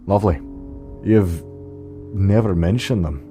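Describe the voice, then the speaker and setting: A man's voice: low-pitched, a little slow, warm tone, soft background music. A man playing a helpful, curious and friendly survivor in an audio drama. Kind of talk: amateur audio drama acting.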